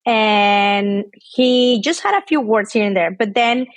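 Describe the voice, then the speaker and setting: A woman's voice: medium pitch, takes it slowly, no room sound. A woman in her thirties from Mexico, speaking English. She chats casually as a podcast guest, with lots of fillers. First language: Spanish. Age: 30s. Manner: chatty, with frequent fillers and laughs. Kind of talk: casual interview chat.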